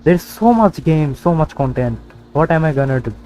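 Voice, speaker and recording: A man's voice: a little high, expressive, normal speed, dark tone, faint music behind. A young man from Bangladesh, chatting casually with many fillers while commenting on his gameplay. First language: Bengali. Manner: rambling and casual, full of fillers. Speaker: gaming streamer.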